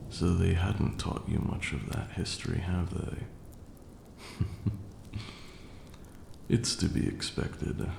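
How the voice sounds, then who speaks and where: A man playing an ancient vampire lord in an intimate ASMR roleplay, haughty yet tender, speaking formally and with measured care in a deep, gravelly murmur. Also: steady tone, full character voice. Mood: musing, wry. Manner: measured, formal archaic diction, condescending yet doting. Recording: roomy echo, faint background noise.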